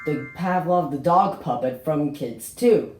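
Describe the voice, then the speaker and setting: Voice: mid-range voice, unhurried, over music. A person presenting a homemade puppet show as host, with a theatrical, exclaiming storyteller manner. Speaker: host showing a puppet collection. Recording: roomy echo with faint noise.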